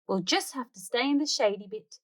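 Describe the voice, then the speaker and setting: A woman's voice: fairly high pitch, animated, a little fast, fairly bright tone. A woman voicing a playful, laid-back cartoon dog dad in a storybook, exclaiming in a casual way. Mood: apologetic.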